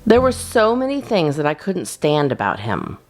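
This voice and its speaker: Low-pitched, animated woman storyteller. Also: normal speed, faint music behind. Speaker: storyteller, children's channel host. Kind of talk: expressive scripted storybook narration.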